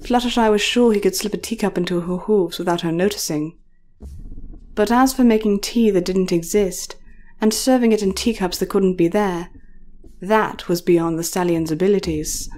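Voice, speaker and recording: A woman narrates a written story in a dark, mellow voice. Her pitch sits in the middle range as she delivers scripted prose. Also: moderate pace.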